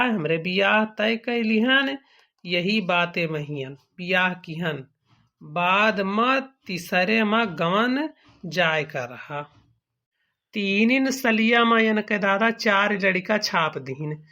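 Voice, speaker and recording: A man's voice: high voice, bright, forceful tone, full character voice. A man playing an aggrieved, calculating village father-in-law in a cartoon comedy, arguing point by point with an eastern Uttar Pradesh accent. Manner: argumentative, explaining point by point.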